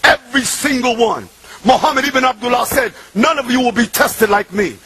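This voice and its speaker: A man, preaching an impassioned sermon that swings from loud shouting to hushed murmurs. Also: very high-pitched, moderate pace, poor audio. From Bronx, New York.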